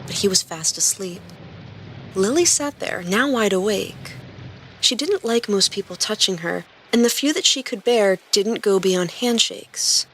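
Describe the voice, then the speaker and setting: Female narrator, medium pitch, scripted storytelling, podcast host, faint background noise. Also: a bit bright, rough recording.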